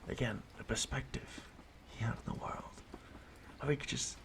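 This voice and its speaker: A man playing an ancient immortal stalker in an audio roleplay, softly menacing yet courteous and wistful, musing haltingly in a gravelly murmur that often drops to a whisper. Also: mid-range voice, steady tone, background noise, close to an everyday voice. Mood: nostalgic, musing. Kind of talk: slow intimate audio roleplay monologue.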